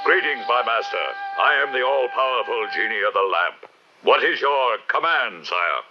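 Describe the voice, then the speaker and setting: A man voicing a mighty, solemn, obedient genie in a 1970s cartoon dub, with slow archaic proclamations. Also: mid-range voice, lively intonation, natural voice. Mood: grand, booming. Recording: dull old TV soundtrack, music behind.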